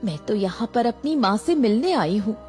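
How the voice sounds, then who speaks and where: Gentle, remorseful young mother, played by a woman for cartoon horror: soft dark tone, earnest, over light music. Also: fairly high pitch, expressive, normal speed, close to an everyday voice. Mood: puzzled, defensive. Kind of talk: animated horror story acting. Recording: background music.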